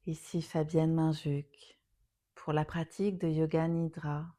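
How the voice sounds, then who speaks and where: Slow, mellow woman guiding relaxation. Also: low-pitched, little pitch movement. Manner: soothing, unhurried, phrases softly trailing into breathy near-whispers. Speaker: yoga nidra teacher. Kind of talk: guided relaxation meditation.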